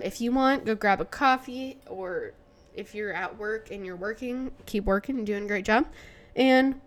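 A woman's voice: medium pitch, a bit bright. A young woman hosting a casual solo podcast, sounding nasal and congested from allergies, chatty with many fillers. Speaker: podcast host.

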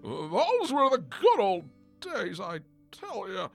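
A man voicing a cranky, excitable old rabbit with folksy, theatrical delivery in a spoof radio commercial. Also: high voice, slow pace, creaky, soft background music, full character voice. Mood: nostalgic.

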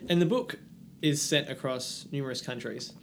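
A man's voice: medium pitch, normal speed. A man hosting a casual interview, putting his questions in a hesitant, thoughtful way. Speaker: podcast host, interviewer. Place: hotel room. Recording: background noise in room.

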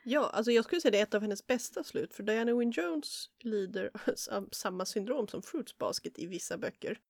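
A woman co-hosting a podcast, giving lively opinions on books in casual chat. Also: mid-range voice, brisk, no room sound, clean studio sound. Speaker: podcast co-host. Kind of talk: casual book discussion.

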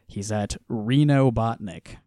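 Easygoing male podcast co-host, low voice, dry close recording. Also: takes it slowly, dry recording. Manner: relaxed, laughs often, trails off quietly. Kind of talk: casual chat reviewing a comic.

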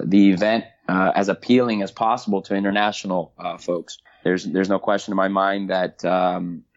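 A middle-aged man answering interview questions about the race he organizes, halting and repeating words as he thinks aloud. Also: low-pitched. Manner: halting, many repeats and fillers. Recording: dull lo-fi audio.

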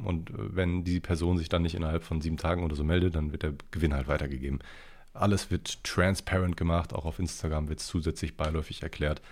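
A man's voice: sits low, darkish tone. A young man from the Ruhr area hosting his own podcast, chatting in a rambling, blunt, slangy way, a little nasal and congested from a cold. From Ruhr area, Germany. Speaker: podcast host. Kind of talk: casual solo podcast chat.

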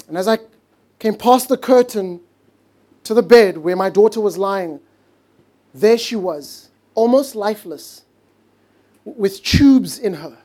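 A mellow, warm-toned man preaching a heartfelt sermon. He stretches out important words and sinks into soft, hushed moments for emphasis. English is a later-learned language for him. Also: high voice. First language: not English. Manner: earnest, stretches key words slowly, drops to a hush for emphasis. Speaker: pastor.

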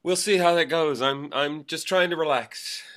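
A man's voice: fairly high pitch, unhurried, fairly bright tone. A man co-hosting a podcast, chatting in an upbeat way and laughing easily. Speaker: podcast co-host. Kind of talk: casual chat.

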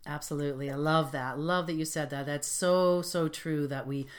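A woman's voice: deep voice, fairly even intonation, normal speed. A woman hosting a podcast interview, upbeat and affirming toward her guest. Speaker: podcast host.

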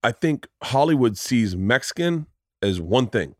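A man's voice: medium pitch, big pitch swings, unhurried, no echo. A male podcast host from Florida, laid-back and joking, with a voice that swings up and down a lot as he talks. He sounds easygoing and agreeable, never pushy. The recording is close and dry. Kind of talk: casual chat.

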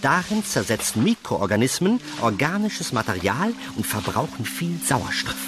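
A man's voice: medium pitch, big pitch swings, normal speed, music in the background, close to an everyday voice. A man playing an eager, pedantic employee of a heavenly agency in a comedic radio play, lecturing in a nerdy way. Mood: lecturing, pleased.

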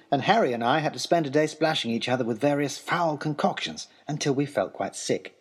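An actor playing an eager, long-suffering young gentleman adventurer, reading his letters aloud in an earnest, wry, genteel way in a comic period audio drama. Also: mid-range voice, slightly rough. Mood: put-upon, wry. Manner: expressive, earnest, wryly self-deprecating, genteel.

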